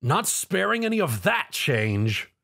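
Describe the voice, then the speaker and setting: A man's voice: on the high side, very animated, a little slow, creaky, bright, forceful tone, full character voice. A man voicing an earnest armored hero, urgent and quippy, in a story. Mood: determined.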